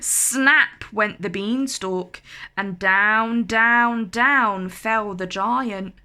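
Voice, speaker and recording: A woman reading a children's storybook aloud as narrator, with expressive, deliberate delivery. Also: mid-range voice, clear, resonant tone.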